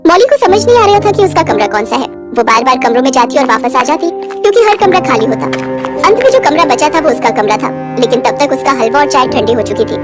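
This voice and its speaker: Female storyteller for scripted moral tales; very high voice over clear background music. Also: lively intonation, a little fast. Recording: music behind the voice.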